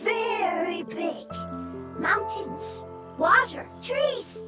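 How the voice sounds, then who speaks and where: A woman voicing a small, timid, childlike mushroom creature in a video game, speaking in halting, broken fragments. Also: very high-pitched, expressive, full character voice. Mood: earnest, halting. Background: game music.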